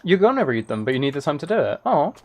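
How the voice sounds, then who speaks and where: A man's voice: a little high, big pitch swings, fast talker. Man chatting casually over noisy game spray. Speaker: livestreamer. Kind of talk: casual livestream chat while playing a game. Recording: clear background noise, spraying game sounds. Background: game ambience and water spray.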